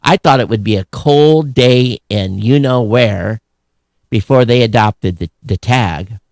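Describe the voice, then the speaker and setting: A man co-hosting a podcast in casual, opinionated chat, animated and sarcastic, often halting and breaking off mid-phrase. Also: mid-range voice, no echo. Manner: animated, sarcastic griping with mock quoted voices. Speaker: podcast co-host.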